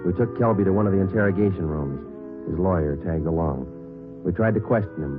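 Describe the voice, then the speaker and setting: A man acting a terse, unflappable detective from vintage radio. He has a very low voice and talks briskly, in a deadpan, matter-of-fact style. The recording is muffled, with music behind it. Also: close to an everyday voice. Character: terse, unflappable police sergeant narrating his case. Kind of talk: old-time radio detective narration and acting.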